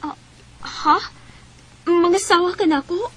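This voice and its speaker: An actress playing a young wife in a radio drama, trusting but easily hurt, with a Cebuano Filipino accent. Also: female voice, very high voice, slow pace, poor audio. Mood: shy, flustered.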